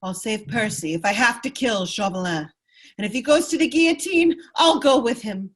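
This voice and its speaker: A woman's voice: a little high, expressive, moderate pace, breathy edge. A woman playing a desperate, devoted noblewoman wife with impassioned, dramatic delivery in an amateur radio play. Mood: fierce resolve. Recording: computer microphone on online call.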